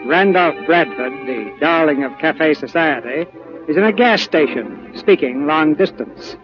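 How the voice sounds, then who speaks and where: High-pitched radio host, dull vintage recording. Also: male voice, animated, a little slow, music in the background. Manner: polished, formal presenter delivery. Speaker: radio theater host and narrator. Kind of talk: 1940s radio show scripted narration.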